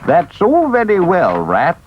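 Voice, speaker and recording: A man voicing a stern old male badger who speaks severely and deliberately. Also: high voice, wildly swooping pitch, dull audio, background noise, voice pushed up, exaggerated voice. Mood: firm.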